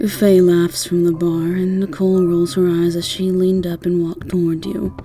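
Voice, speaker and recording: A woman reads scripted fantasy narration in a voice a little lower than most women's. Her storytelling is wry and addresses the listener as "you". Music plays behind her. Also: flat delivery, normal speed, dark tone. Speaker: narrator and voice actor. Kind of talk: scripted second-person fantasy roleplay narration.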